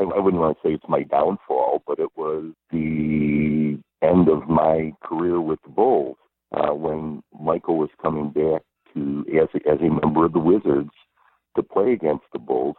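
Very deep-voiced man on a phone line. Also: no echo. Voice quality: strong, powerful voice. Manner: unhurried storyteller, many fillers. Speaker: guest, former arena public address announcer. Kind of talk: phone interview, reminiscing. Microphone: telephone.

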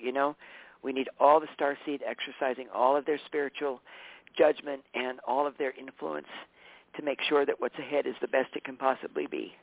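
A man with a tired, hoarse voice, a regular guest on a casual talk show, chatting with warmth, laughing often and correcting himself as he goes. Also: on the high side, no room sound. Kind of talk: casual radio show chat. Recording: dry, phone-line sound.

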